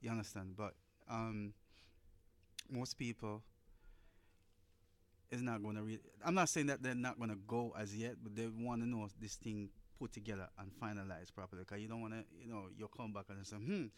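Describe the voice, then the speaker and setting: A man's voice: fairly low pitch, steady tone, moderate pace. A man giving his opinion as a studio guest in a casual radio discussion, informal and checking he is understood.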